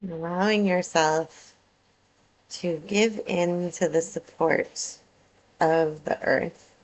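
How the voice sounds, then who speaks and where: A woman teaching yoga nidra, guiding a meditation in a calm, soothing way with measured phrases and pauses. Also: deep voice, vocal fry, low-quality recording. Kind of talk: guided meditation, soothing instruction.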